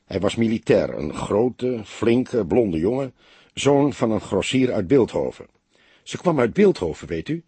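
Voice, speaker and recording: Guy voicing cagey young office woman, evasive and curt, husky, audiobook, dry recording. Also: lowish voice, very animated, a little slow, warm tone, no room sound, heavily acted. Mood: matter-of-fact. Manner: evasive, hesitant, reluctant. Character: guarded, curt young female office worker under questioning.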